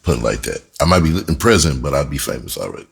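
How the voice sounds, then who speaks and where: A man's voice: rumbling low, big pitch swings, fast, creaky, slightly rough. A middle-aged man telling personal stories casually as a host, candid and reflective, with streetwise slang. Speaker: podcast host and music artist.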